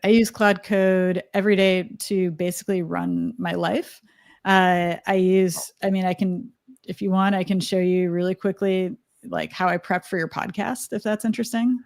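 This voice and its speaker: A woman guest on a live stream, talking fast with many fillers and restarts. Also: fairly low pitch, fairly even intonation, creaky, a little mellow. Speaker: guest from a web design company. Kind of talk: casual live-stream interview.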